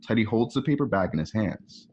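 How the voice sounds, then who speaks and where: A man's voice: fairly low pitch, lively intonation, brisk, a little mellow, lo-fi sound. A man reading scripted scene directions as the narrator of a radio play.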